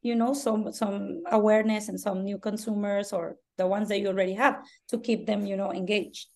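A woman from Mexico with a Mexican Spanish accent, Spanish as her first language, chatting on a podcast and often pausing to search for words. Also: mid-range voice, flat delivery, warm tone. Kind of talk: casual podcast chat.

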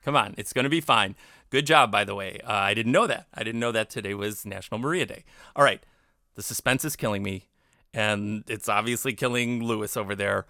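Male host, low bright voice, casual joking banter, dry booth sound. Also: fairly quick, no echo. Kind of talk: casual comedic studio banter.

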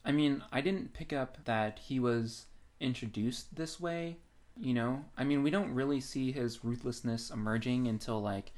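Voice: medium pitch, normal speed, a little mellow. A podcast co-host discussing books casually, in an informal and agreeable manner.

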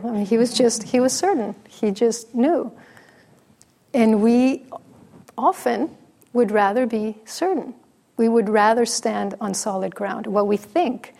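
Female dharma teacher, soft and pausing, a bit of a creaky edge. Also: a little high. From Mexico. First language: Spanish. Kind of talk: dharma talk with storytelling.

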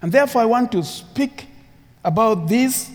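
A man's voice: very high-pitched, animated, normal speed. A man from Uganda with a Ugandan English accent, preaching an earnest sermon as a guest speaker, repeating phrases and building emphasis. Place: church sanctuary with long reverb. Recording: reverberant church hall.

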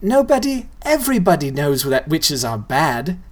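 A man's voice: fairly high pitch, very animated, moderate pace. A man doing a bossy know-it-all girl.

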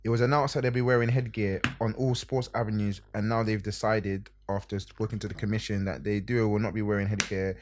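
A man in casual podcast banter, quick to argue a point. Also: lowish voice, flat delivery, background noise. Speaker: podcast co-host.

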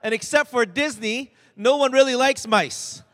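A man's voice: very high voice, normal speed, fairly bright tone. A man interpreting a woman's sermon in first person in a church, with light humor. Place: church hall. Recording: long hall reverb.

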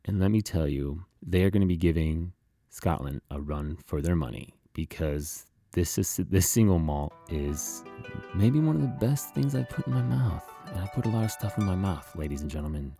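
A man's voice: deep voice, lively intonation, moderate pace, gravelly, dark tone, husky, faint music behind. A man hosting a podcast in a casual, conversational style. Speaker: podcast host.

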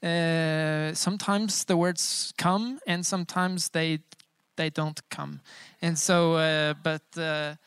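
A man's voice: on the high side, steady tone, slow, a bit bright, smooth, no room sound, studio quality. A man in his thirties, a pastor giving a casual, joking sermon with many filler sounds. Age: 30s. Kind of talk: informal bilingual sermon.

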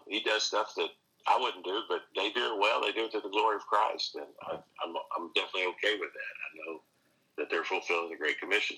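A pastor and seminary teacher answering interview questions earnestly, with frequent restarts and rising emphasis. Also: male voice, deep voice, pushed and bright, husky, rough recording.

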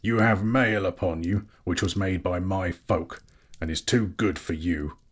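A man voicing a proud, bitter dwarf king in a dramatic storybook reading, gruff and imperious, declaiming slowly and scornfully. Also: low-pitched. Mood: menacing.

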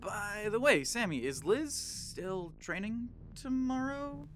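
Over clear background noise comes an eager young slacker guy played by a man in an audio drama. He talks in slangy, awkward bursts and stammers. His pitch swings a lot, though his pace is unhurried. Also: big pitch swings, fairly bright tone, heavily acted. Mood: hopeful. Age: young adult. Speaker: actor in a student audio drama.

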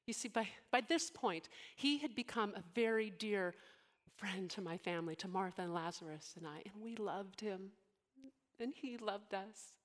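A young woman playing Mary in a dramatic monologue, speaking emotionally and haltingly, often sinking into soft whispers. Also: mid-range voice, close to an everyday voice. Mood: overwhelmed, reverent. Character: devoted young woman, biblical Mary, deeply moved.

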